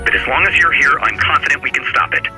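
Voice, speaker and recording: A man's voice: a little high, animated, fast, bright, forceful tone, soft background music, low-quality recording. An actor playing a deferential, confident male corporate aide in a video game cutscene. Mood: reassuring.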